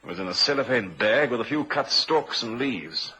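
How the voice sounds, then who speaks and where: An actor playing a shrewd, probing police inspector with a husky voice in a vintage radio crime drama. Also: male voice, mid-range voice, expressive, normal speed, bright, forceful tone, heavily acted. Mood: brisk, reflective.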